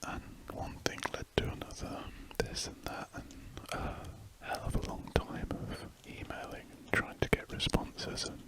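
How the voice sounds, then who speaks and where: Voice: a little slow, few pauses, rough recording. An adult whispering casual updates in ASMR style while drawing, rambling and often restarting sentences. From United Kingdom. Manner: soft rambling whisper with frequent restarts and self-corrections. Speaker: ASMR artist.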